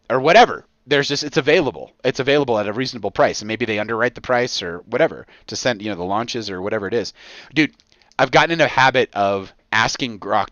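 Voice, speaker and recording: An American man in his forties with a mid-pitched voice co-hosts a casual comedy podcast. He riffs in slangy, sweary, exaggerated bursts. The recording is dry and a little lo-fi. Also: no echo. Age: 40s. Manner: excitable, profane, slangy riffing with exaggeration. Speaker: podcast co-host.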